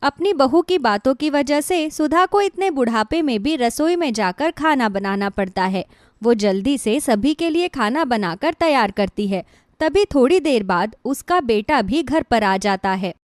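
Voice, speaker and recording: A woman's voice: high voice, animated, a little slow, no room sound, clean studio sound. A woman giving scripted narration for a cartoon moral story.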